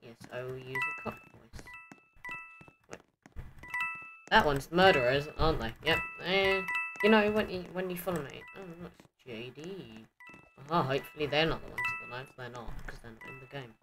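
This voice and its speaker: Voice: medium pitch, animated, normal speed, over loud music, poor audio. A person giving casual gameplay commentary, muttering and thinking aloud. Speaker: gamer recording gameplay.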